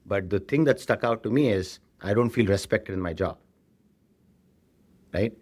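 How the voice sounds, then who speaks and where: A man's voice: fairly low pitch, normal speed, a little mellow, slightly rough. A man with an Indian accent answering interview questions as a company chief, explaining conversationally and often checking in with a short tag question. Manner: frequently tags sentences with "right?". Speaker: tech company CEO being interviewed.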